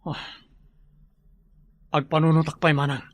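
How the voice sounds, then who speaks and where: A man's voice: fairly high pitch, level tone, a little slow, no echo, lightly acted. An actor playing a worried, earnest young male student in a Filipino radio drama. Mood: worried, pleading.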